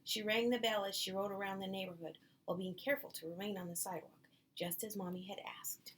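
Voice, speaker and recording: A woman reads a picture book aloud for children at a medium pitch. She narrates the story and adds little asides for young listeners. There is faint noise in the background. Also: fairly even intonation, fast talker, rough recording. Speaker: nurse reading aloud to children.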